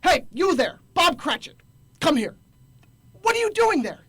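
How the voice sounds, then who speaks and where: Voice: extremely high pitch, very animated, unhurried, fairly bright tone, a little hiss or hum, exaggerated voice. An actor playing a grumpy, miserly old businessman in a radio drama, scornful, curt and barking. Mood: irritated.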